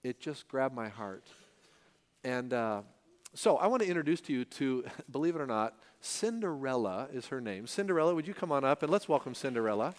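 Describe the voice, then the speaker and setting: A man hosting a church service interview, telling stories in a chatty, animated way with lots of fillers. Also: medium pitch, fairly quick, long reverb tail. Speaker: pastor interviewing a guest. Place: church sanctuary with congregation.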